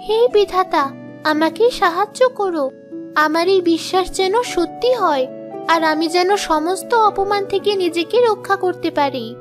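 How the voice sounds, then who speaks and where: Cartoon young wife: childlike very high pitch, trusting, gentle, dramatic delivery, music behind. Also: female voice, moderate pace. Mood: pleading. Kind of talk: exaggerated cartoon dialogue.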